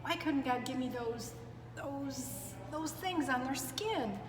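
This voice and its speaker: A woman's voice: high-pitched, slow pace, voice pushed up. Woman playing a grumpy, whiny rhino in an echoey room. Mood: sulky, indignant. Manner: muttering, whining, groping for words. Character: grumpy self-pitying male rhino, big and sulky. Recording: echoey reverberant room, clear background noise.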